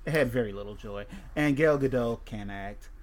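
A man's voice: mid-range voice, normal speed. A man hosting a podcast, chatting casually in a blunt, profane, rambling way. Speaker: podcast host. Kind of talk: casual chat.

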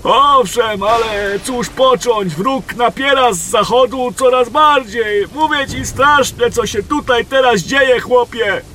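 A man playing a folksy, worried trader in a fantasy audio drama, giving familiar, drawn-out warnings. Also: very high voice, bright, forceful tone, slight background noise, heavily acted. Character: gossipy, worried, folksy male roadside trader.

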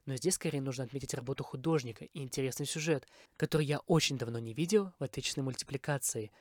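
A man's voice: mid-range voice, fast talker, no room sound, studio quality. A man from Kazakhstan hosting a podcast, delivering a scripted review monologue. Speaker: podcast host.

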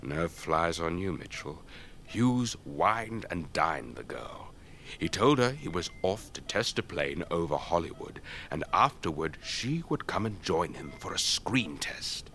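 An actor playing an elderly English retired air force officer, urbane and measured, telling tales in a radio drama. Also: male voice, low-pitched, very animated, heavily acted. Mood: wry, storytelling. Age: older. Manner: measured, old-fashioned gentlemanly, theatrical raconteur.

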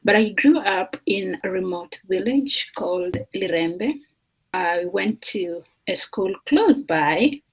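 A woman from Kenya, a doctoral student, talking slowly and thoughtfully with fillers as a guest on a remote podcast interview. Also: mid-range voice, lively intonation, breathy edge, poor audio. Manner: slow, thoughtful, with fillers and restarts. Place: remote call.

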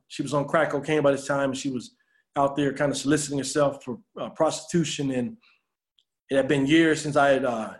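An American man being interviewed as an author, telling personal stories in a reflective, earnest way. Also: a little high.

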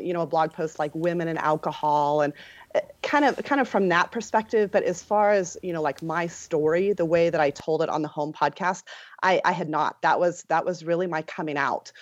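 A woman in her forties, a nutritionist and health coach, speaking as a guest on a casual podcast, earnest and halting with many restarts. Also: on the low side, no echo. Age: 40s. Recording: dull lo-fi remote line.

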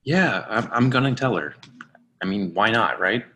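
Casting: male actor as awkward lovesick everyman, lowish bright voice, exasperated, halting, deadpan in a stage comedy. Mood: exasperated, resigned. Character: reluctant, exasperated lovesick man, awkwardly supportive. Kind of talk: readers-theatre comedy-drama acting.

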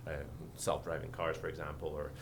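A man from Quebec with a Quebec French accent, a visiting law professor speaking haltingly with many fillers in a podcast interview. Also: a bass voice, monotone, noisy background. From Quebec, Canada.

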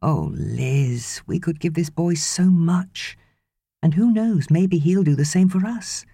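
A woman's voice: on the low side, expressive, slow, mellow, husky, no echo, studio quality, voice pushed up, full character voice. A woman voicing an earnest, loving husband and father in an audiobook, pleading and persuading emphatically. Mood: earnest, tender.

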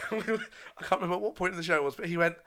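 A man with a slightly raspy, worn voice, co-hosting a casual chat podcast and joking around. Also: sits high, very fast, very noisy. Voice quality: a bit raspy, hoarse voice. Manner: jokey, laughs often, mock-sings. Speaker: podcast co-host.

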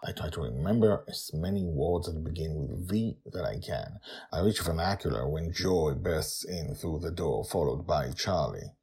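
A man's voice: rumbling low, animated, moderate pace, vocal fry, a little mellow, breathy edge, full character voice. A man voicing a doting elderly grandpa who tells his own story in a reflective, protective way. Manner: reflective first-person storytelling with sudden calls.

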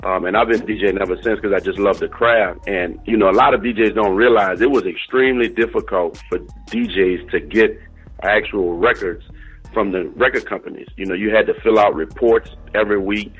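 Middle-aged man, very deep, rough-edged voice, informal interview talk, soft music underneath. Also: fairly quick, poor audio. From New Orleans, American South. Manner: informal, uses "you know" and "bro" often. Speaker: interview guest, DJ and company owner.

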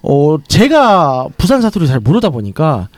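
A man hosting a casual podcast chat about a drama he writes, talking in a reflective, drawn-out way with many fillers. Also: fairly high pitch, wildly swooping pitch, a little mellow, no room sound. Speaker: podcast host and script writer.

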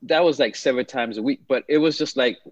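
A man's voice: mid-range voice, a little fast, breathy edge, no echo, lo-fi sound. A man in his fifties, originally from Haiti, telling his life story as an interview guest in an easygoing way. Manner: easygoing, repeats words as he talks. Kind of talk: relaxed storytelling in conversation.

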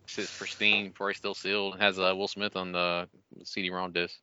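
A man's voice: deep voice, little pitch movement, fairly quick, bright, forceful tone, clean tone, no echo, dull audio. A man co-hosting a gaming podcast with playful, sweary, casual banter. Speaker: podcast co-host. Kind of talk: casual joking gaming chat.

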